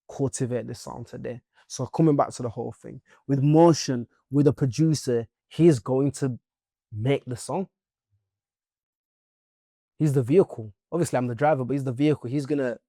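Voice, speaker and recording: Gravelly-voiced young Leeds musician. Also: male voice, mid-range voice, no room sound, clean studio sound. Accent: Leeds English. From Leeds, England. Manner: animated, playful, chatty. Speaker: musician guest. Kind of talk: casual interview chat.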